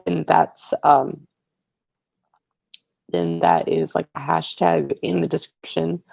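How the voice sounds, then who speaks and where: A teenage boy hosting a casual livestream, rambling and correcting himself as he chats to viewers, his voice raspy today. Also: a little high, very animated, slow, darkish tone, no room sound. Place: living room, indoors. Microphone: earbud headset mic, webcam.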